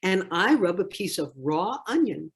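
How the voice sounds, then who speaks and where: A woman reading a picture book aloud expressively, with deliberate, phrase-by-phrase delivery. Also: fairly low pitch, big pitch swings, husky. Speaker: storybook reader.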